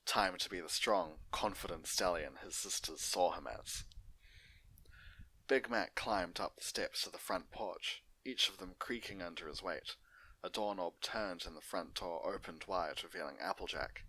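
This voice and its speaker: A man's voice: deep voice, normal speed, bright, forceful tone, low-quality recording. A man narrating and hosting an unedited fan fiction story reading.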